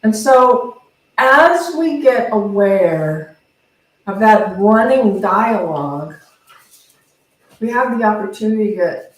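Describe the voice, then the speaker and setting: Slow-paced woman preaching in an echoey room. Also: medium pitch, lively intonation. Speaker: spiritual center minister. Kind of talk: informal teaching talk to a congregation. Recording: very echoey room, distant microphone.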